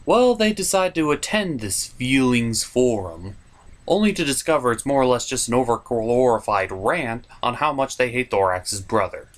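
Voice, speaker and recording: A man's voice: medium pitch, lively intonation, moderate pace. A man giving a comedic recap as a cartoon reviewer, sarcastic and mocking in manner.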